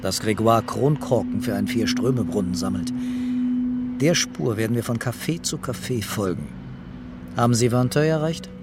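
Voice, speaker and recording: An actor playing a weary, quietly intense police commissioner in a radio crime drama. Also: male voice, medium pitch, moderate pace, very noisy, close to an everyday voice. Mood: brisk, commanding.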